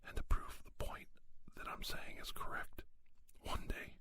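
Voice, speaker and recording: A man whispering an ASMR monologue, giving folksy, plain-spoken advice and anecdotes with unhurried, emphatic pauses. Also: bright, forceful tone. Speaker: ASMR podcast host.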